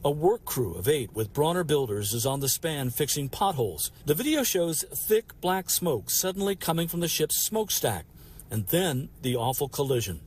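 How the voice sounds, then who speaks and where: A male reporter narrates a scripted news story. His voice is a little high and highly varied in pitch, with faint ambience underneath. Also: big pitch swings, normal speed, slight background noise.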